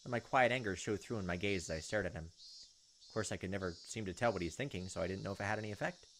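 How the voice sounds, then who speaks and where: A man's voice: low voice, rapid-fire, fairly bright tone, a little hiss or hum. A man hosting a let's play, reading game text aloud and adding wry, casual commentary. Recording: faint game music behind the voice.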